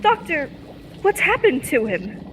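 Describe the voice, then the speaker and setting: A woman playing a spirited, caring young heroine in an old-time radio space serial, earnest and expressive. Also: high-pitched, measured pace, in a large hall, a little hiss or hum, heavily acted, low-quality recording. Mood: alarmed, worried.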